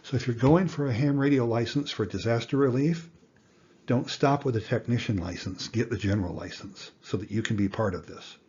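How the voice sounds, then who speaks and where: A man giving an informal explanatory lesson as an instructor, speaking deliberately and emphatically with rhetorical questions. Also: medium pitch, a little mellow, slightly rough, dull audio. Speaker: instructor, amateur radio teacher.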